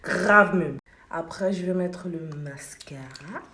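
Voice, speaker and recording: A woman's voice: lowish voice, slow, bright, forceful tone, a little hiss or hum. A young woman talking to camera in a casual vlog while doing her makeup, telling her story in an emphatic, repetitive way. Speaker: beauty vlogger. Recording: echoey room.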